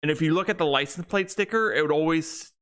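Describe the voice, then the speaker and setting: A man's voice: on the high side, fast talker, a bit bright, clean tone. A young man co-hosting a podcast, chatting casually and rambling with many restarts and fillers. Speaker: podcast co-host. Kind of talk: casual chat.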